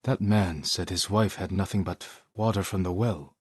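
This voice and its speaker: An actor playing a stoic, honorable samurai warrior in a video game, speaking in a measured, formal and grave way. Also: male voice, sits low, fairly even intonation, darkish tone, slightly rough, no echo, studio quality. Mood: measured, resolute. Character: stoic, honorable samurai warrior, adult man, solemn and protective. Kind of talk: dramatic video game acting.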